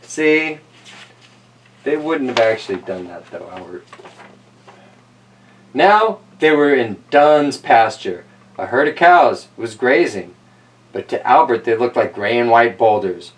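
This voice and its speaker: Animated man reading a children's story aloud. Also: mid-range voice, slow, pauses often, distant mic, rough recording. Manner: playful, wry commentary on the story.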